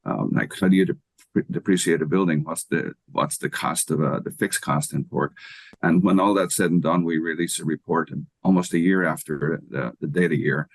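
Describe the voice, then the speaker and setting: Irish man in his sixties, very deep low voice, dry close mic, measured and thoughtful explainer, interview guest. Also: fairly even intonation, no echo. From Ireland. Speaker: guest expert, economics professor.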